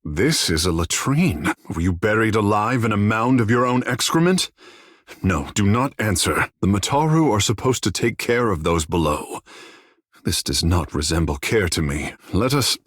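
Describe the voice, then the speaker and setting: Flamboyant husky young demigod, swooping intonation. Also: male voice, on the low side, animated, moderate pace, fairly bright tone, dry recording, clean studio sound. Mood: disgusted, indignant. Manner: theatrical, lofty formal phrasing. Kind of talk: scripted fantasy video game dialogue.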